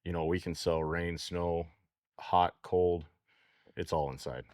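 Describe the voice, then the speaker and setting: A man's voice: deep voice, fairly even intonation, slow, darkish tone, dry recording, clean studio sound. A man co-hosting a farm talk show, chatting folksily with repeated words. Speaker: co-host, auction company staff. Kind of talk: casual radio talk.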